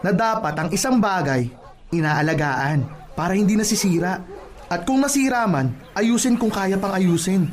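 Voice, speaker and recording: An actor playing an earnest, caring plumber who gives admonishing advice in a radio drama. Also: male voice, sits high, lively intonation. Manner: admonishing, deliberate advice-giving. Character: earnest, caring plumber, a man scolding a friend. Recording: music behind the voice.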